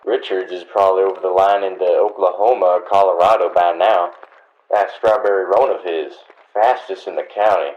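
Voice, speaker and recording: A man's voice: low-pitched, fairly even intonation, moderate pace, dull audio, natural voice. A man playing a loyal, easygoing Old West deputy in a radio drama, with a folksy, deferential American manner. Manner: folksy, hesitant. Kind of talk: Western radio drama acting.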